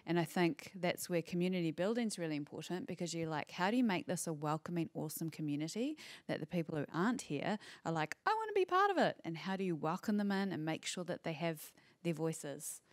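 A woman's voice: deep voice, animated, a little fast, no echo. A woman on a conference panel speaking earnestly into a handheld microphone, acting out the questions she asks herself. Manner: earnest, emphatic, acts out inner questions. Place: conference room stage.

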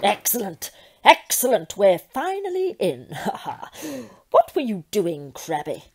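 A woman's voice: medium pitch, huge pitch swings, a little slow, husky, exaggerated voice. A woman playing a vain, imperious lady devil with theatrical menace in an audio comedy drama. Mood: gleeful menace.

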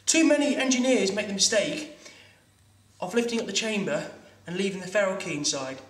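A fairly high-voiced man in his thirties walks learners through a plumbing rig in a workshop, practical and instructive, now and then stumbling and restarting a phrase. He is picked up from a little way off the mic, and the room rings with echo. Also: a little fast, distant mic. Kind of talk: hands-on demonstration lesson. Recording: echoey room, voice a bit off-mic.

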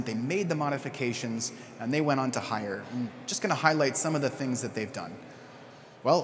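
A man delivering a keynote talk in a large hall, his voice trailing a long reverb. He speaks in a mid-range pitch and keeps it conversational, with loose fillers and restarts. A faint room hum sits underneath. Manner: conversational, with fillers and self-corrections.

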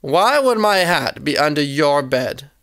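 A woman voicing an excitable, fussy sister from a children's storybook, whiny and insistent in manner. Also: low voice, a little slow, full character voice. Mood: indignant.

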